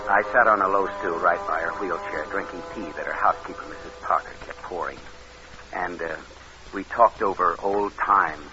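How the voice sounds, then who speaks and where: Guy acting as smooth scheming con man telling his story, old radio thriller, loud hiss, dull sound. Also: low-pitched, normal speed, close to an everyday voice. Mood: cold, matter-of-fact. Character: scheming cold-blooded male con man posing as nephew. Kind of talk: 1940s radio thriller acting and first-person narration.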